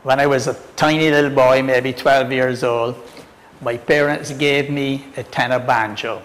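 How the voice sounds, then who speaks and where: An older man from Barbados with a Barbadian accent, telling his own story in a relaxed, friendly way. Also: mid-range voice, unhurried, in a large hall, low-quality recording. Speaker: tenor guitarist. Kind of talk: personal storytelling to camera.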